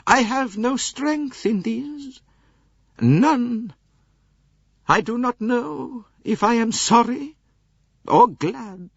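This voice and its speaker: A man voicing a proud, bitter elderly princess in an audiobook, speaking with slow, haughty, dramatic emphasis. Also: extremely high pitch, lively intonation, vocal fry, slightly rough, no echo, lo-fi sound, voice pushed up, full character voice. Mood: proud, probing.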